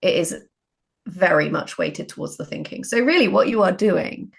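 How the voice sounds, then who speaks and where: A woman with a lowish voice and plenty of vocal fry co-hosts a casual interview. She talks in a reflective, conversational way. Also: animated, normal speed. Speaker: podcast co-host, writer.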